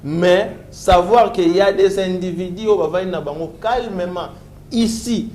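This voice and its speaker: Congolese male host over faint rumbling noise. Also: a little slow, darkish tone. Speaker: talk show host. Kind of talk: political talk show moderation.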